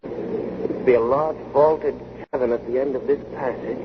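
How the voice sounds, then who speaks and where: An actor playing a bold, reasoning inventor in a golden age radio drama, theatrical and urgent in delivery. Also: male voice, mid-range voice, fairly even intonation, moderate pace, lightly acted. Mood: tense, hushed. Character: bold, reasoning male time-machine inventor on an adventure. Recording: dull, noisy vintage radio recording.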